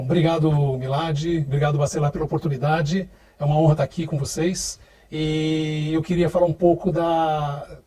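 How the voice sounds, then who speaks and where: A middle-aged man being interviewed as a company director, speaking in a measured, hesitant way with repeated words. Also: a little high, mellow, recorded from a distance. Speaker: guest, sales director. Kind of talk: interview answers.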